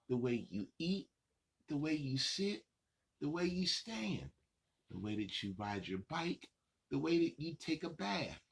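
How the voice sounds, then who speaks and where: A man hosting a children's story time, talking straight to young children in simple, repeated, encouraging phrases, with a gravelly, husky voice. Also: fairly high pitch. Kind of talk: addressing young children directly.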